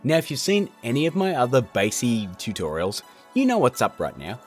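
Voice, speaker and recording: Lively, informal male tutorial host. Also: mid-range voice, brisk, faint music behind. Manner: chatty, informal, jokey. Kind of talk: casual software tutorial narration.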